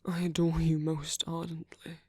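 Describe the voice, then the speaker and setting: A man playing a gentle, nervous king in an intimate ASMR roleplay, speaking formally and earnestly with soft hesitations. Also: a little high, monotone, normal speed, husky, no room sound. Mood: earnest, adoring. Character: gentle, nervous king confessing love to a prince.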